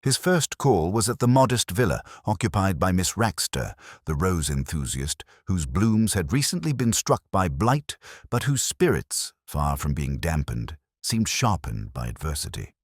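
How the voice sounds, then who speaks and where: A man narrating a mystery story in a measured, expressive way. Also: low voice, dry recording, clean studio sound.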